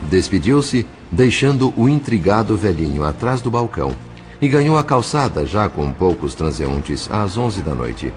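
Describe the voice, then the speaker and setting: A man with a Brazilian accent playing a grave, compassionate narrator in an audio drama, in measured, literary phrasing. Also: fairly low pitch, animated, a little mellow, faint music behind. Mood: solemn.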